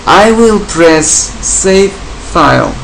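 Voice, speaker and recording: Slow Bulgarian-accented man with gravelly voice. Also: high-pitched, expressive, a bit bright, slight background noise, poor audio. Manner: slow, step-by-step instructions in short phrases. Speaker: tutorial presenter. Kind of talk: screen-recorded software tutorial.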